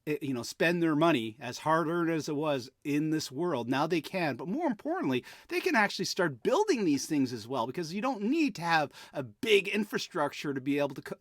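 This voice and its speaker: A man hosting a podcast, explaining things conversationally in an animated, playful way. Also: on the high side, no echo, studio quality. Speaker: podcast host. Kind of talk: conversational explainer talk with co-host.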